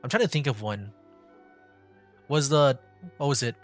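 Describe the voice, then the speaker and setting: A man's voice: mid-range voice, normal speed, faint music behind. A man giving casual live gameplay commentary as a streamer, rambling and feeling for his words. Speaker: video game streamer.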